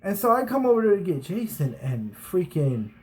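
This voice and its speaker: A man's voice: high-pitched, big pitch swings, moderate pace, poor audio. A man in his thirties casually telling stories from his school days on his vlog, rambling with restarts and fillers. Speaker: vlogger. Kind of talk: casual storytelling.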